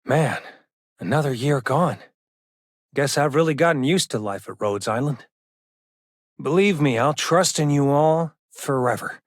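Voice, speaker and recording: A man's voice: mid-range voice, animated, unhurried, long pauses, no echo, studio quality. An actor voicing an easygoing, loyal, grateful young man in a video game, casual and sincere. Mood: grateful, upbeat. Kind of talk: scripted game character lines.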